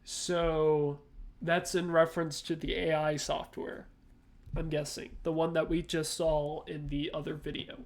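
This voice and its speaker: A man with a slightly high voice hosts a reaction video. He comments casually and off the cuff, working out his thoughts aloud in short, halting pieces. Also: level tone.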